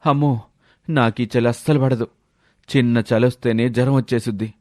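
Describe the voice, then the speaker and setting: A man's voice: medium pitch, fairly even intonation, moderate pace, dark tone, no room sound, studio quality, close to an everyday voice. A man playing a lazy village husband who complains and wheedles his way out of things in a storybook tale. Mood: making excuses. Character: lazy, whining village husband full of excuses. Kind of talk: storybook character voice.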